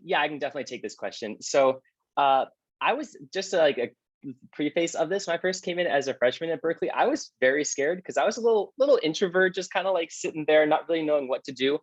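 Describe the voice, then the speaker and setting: A man's voice: fairly high pitch, animated, fast, no echo. A young man from Long Beach, California, speaking on a video call as a student panelist, chatty and rambling with fillers. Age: young adult. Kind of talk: casual Q and A. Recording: dry, dull lo-fi call audio.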